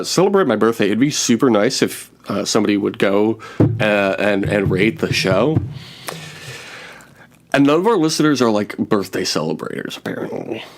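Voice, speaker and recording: A man in his thirties hosting a podcast in casual comedic banter, joking and poking fun at himself. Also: fairly low pitch. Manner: joking, self-mocking. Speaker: podcast host.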